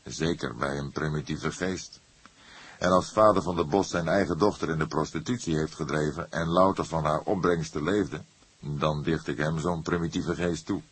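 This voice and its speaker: A man voicing an old, shrewd police detective, measured and stern, in an audiobook. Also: very deep voice, fairly even intonation, breathy edge, no room sound, heavily acted. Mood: reasoning.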